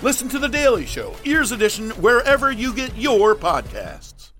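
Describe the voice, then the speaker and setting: High-voiced man reading a promo. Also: very animated, moderate pace, over music. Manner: upbeat, polished delivery. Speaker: podcast ad announcer. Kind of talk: scripted promo read.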